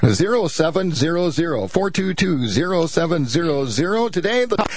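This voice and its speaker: A man hosting a local morning radio show, friendly and halting with fillers. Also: on the high side, sing-song, slight background noise. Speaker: radio host. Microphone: studio microphone.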